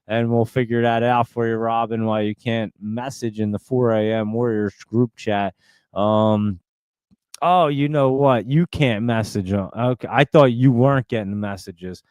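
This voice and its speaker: A man's voice: mid-range voice, steady tone, a little slow, a little mellow, clear, resonant tone, no room sound. A man in his forties with a New Jersey accent, rambling casually as he hosts a live stream and answers viewer comments. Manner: rambling, chatty, lots of fillers and restarts. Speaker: livestream host.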